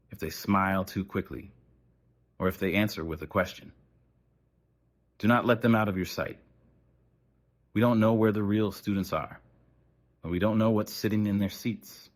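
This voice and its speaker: Low-pitched man reading an ominous official announcement. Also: steady tone. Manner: formal, measured, ominous. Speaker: narrator of an analog horror broadcast. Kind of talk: scripted horror narration as an official emergency announcement.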